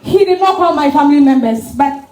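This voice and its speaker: A woman's voice: high voice, expressive, fairly quick, warm tone, rough recording. A woman with a Ghanaian accent acting a defiant, exasperated wife and mother in a dramatized stage reading. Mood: defiant, amused. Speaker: stage actor.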